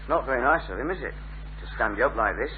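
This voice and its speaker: A man's voice: medium pitch, lively intonation, fast talker, close to an everyday voice. Man acting a shy lad, muffled old recording. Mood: awkward, earnest. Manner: hesitant, stammering when pressed. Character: shy, awkward, reluctant young northern lad. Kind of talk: 1970s British radio sitcom acting. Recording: muffled phone-like old radio recording, faint hum.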